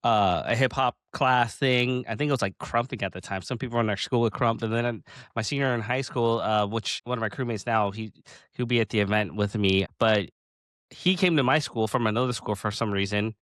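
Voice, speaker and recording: A man in his late thirties hosting a casual interview, talking in halting bursts with many restarts. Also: mid-range voice, no echo. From Pacific Northwest of the US. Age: 30s. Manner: halting, restarts often, excited laughs. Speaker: podcast host.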